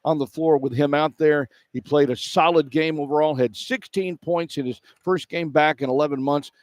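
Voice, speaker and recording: A man hosts a radio sports broadcast, recapping a game in commentary style. His voice sits a little high for a man, and the sound is dry with no room echo. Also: fairly even intonation, normal speed. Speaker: play-by-play radio host.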